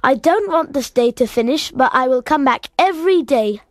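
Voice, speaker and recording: Storybook boy, played by a woman: high-pitched, earnest, excitable and full of determination. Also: normal speed, no room sound, studio quality. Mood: eager, excited. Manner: emphatic, earnest declarations.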